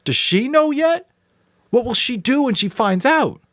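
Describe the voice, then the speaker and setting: A man's voice: extremely high pitch, expressive, measured pace, fairly bright tone, no echo, clean studio sound, voice pushed up, full character voice. A man voicing a distraught, bitterly defiant adolescent boy in a dramatic audiobook reading. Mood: anguished accusing. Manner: tearful, sarcastic, spitting out questions.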